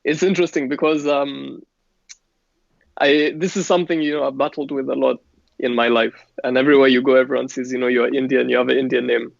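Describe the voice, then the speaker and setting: A man with a gravelly, level voice that barely rises or falls. He answers as a musical guest in an easy, unhurried way. The audio is dry and dull. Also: medium pitch, dry recording. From Kenya. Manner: relaxed, unhurried, laughs easily. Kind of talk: casual interview answers.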